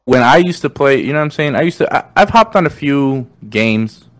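A young man whose first language is Spanish, hosting a casual podcast chat in slangy, animated talk, often saying "you know what I'm saying". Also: mid-range voice, brisk, dry recording. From Mexican American. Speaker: podcast host.

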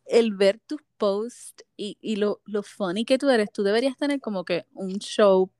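A woman's voice: mid-range voice, unhurried, a little mellow, no echo, clean studio sound. A woman hosting a casual interview, mixing Spanish and English, bubbly with frequent laughs and fillers. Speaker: host. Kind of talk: casual interview chat, Spanglish.

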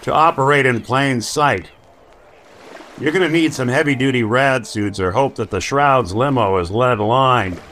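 A man's voice: mid-range voice, unhurried, fairly bright tone, close to an everyday voice. Man playing a world-weary noir detective, faint noise behind. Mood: grim. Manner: wry, streetwise. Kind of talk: noir radio drama acting.

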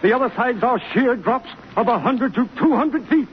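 High-pitched vintage radio reporter. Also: male voice, expressive, moderate pace. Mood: dramatic, formal. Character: crisp, dramatic radio reporter describing army maneuvers. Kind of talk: 1940s radio news commentary. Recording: very noisy, muffled 1940s radio recording.

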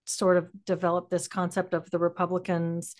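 A middle-aged American woman speaks in a voice pitched a little low for a woman. She is talking reflectively as a podcast host, feeling her way through each idea with halting, searching phrasing. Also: completely flat delivery. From Texas, United States. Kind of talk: reflective conversational discussion.

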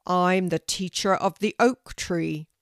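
A woman voicing a stern talking oak tree teacher in a children's storybook, loud and commanding with slow, deliberate delivery. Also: no room sound, clean studio sound. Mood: booming, formal. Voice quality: deep, loud. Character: booming, stern oak tree teacher setting spelling questions.

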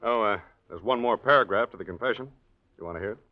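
An actor playing a businesslike, confident police lieutenant in a 1940s radio drama, explaining things matter-of-factly. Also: male voice, fairly low pitch, fast, natural voice. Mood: businesslike, assured. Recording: muffled vintage radio recording.

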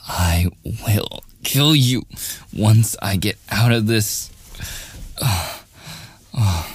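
A man's voice: low-pitched, slightly rough, exaggerated voice. A man playing a cocky young student bully who sneers and gets flustered, in a boyfriend ASMR audio roleplay. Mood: flustered, threatening. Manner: sarcastic, taunting, hesitant stammering under pressure.

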